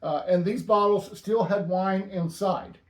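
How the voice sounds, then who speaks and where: Deadpan man hosting in an echoey room. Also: high voice, a little slow. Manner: dry, deadpan banter. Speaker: host. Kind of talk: casual stamp-collecting chat.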